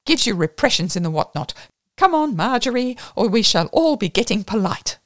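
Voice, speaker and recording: An airy upper-class gentleman, voiced by a woman reader, tosses off clipped, flippant remarks. The reading is close-miked and dry. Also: a little high, very animated, normal speed, no echo, pitched above the performer's own voice.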